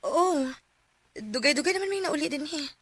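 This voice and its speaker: A woman's voice: high-pitched, expressive, normal speed, a crowd in the background. A woman with a Cebuano accent playing a remorseful young single mother in a radio drama. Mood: subdued. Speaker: former lover returned home.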